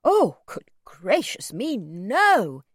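A woman acts out a very old storybook gentleman with lively, swooping intonation. He is courteous and gentle, pleading in a genteel, wheedling way. The delivery is unhurried. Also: mid-range voice, no room sound, studio quality. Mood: flustered, earnest.

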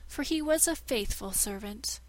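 A woman's voice: on the high side, expressive, a little slow, no echo, poor audio. A woman voicing a haughty, scheming male shadow who now acts as master and speaks in commanding, old-fashioned thee-and-thou phrasing. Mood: feigning distress.

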